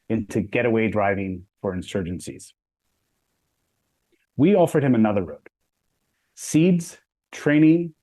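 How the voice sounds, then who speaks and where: A man's voice: mid-range voice, a little mellow, poor audio. A man speaking as a panelist, delivering a prepared, rhetorical speech in short, punchy phrases. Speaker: panelist, head of an agriculture company.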